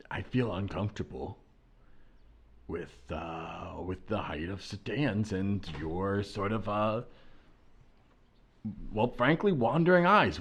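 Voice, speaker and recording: Man playing a low-voiced insistent husband. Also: husky, full character voice. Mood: uneasy, insistent. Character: insistent, uneasy husband shopping for a car. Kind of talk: improvised comedy sketch.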